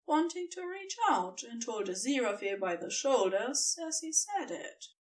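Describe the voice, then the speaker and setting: A woman narrating fiction from a script in an expressive storytelling style. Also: on the high side, moderate pace, far from the mic.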